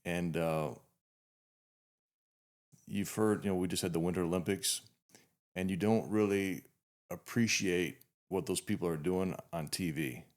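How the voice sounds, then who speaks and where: A man in his fifties hosts a laid-back podcast chat. His voice sits low, and he rambles and restarts often as he talks. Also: unhurried, pauses often. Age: 50s. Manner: relaxed, rambling with frequent restarts. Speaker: podcast host. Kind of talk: casual interview chat.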